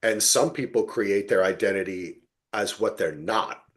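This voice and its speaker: A man's voice: fairly low pitch, measured pace. A man hosting a podcast, chatting casually and emphatically about television, acting out quoted asides as he goes. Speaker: podcast host. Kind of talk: casual TV recap discussion.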